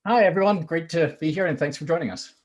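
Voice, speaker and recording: A man's voice: on the high side, fast talker. A man co-hosting a podcast, putting questions in a conversational interviewing style. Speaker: podcast co-host.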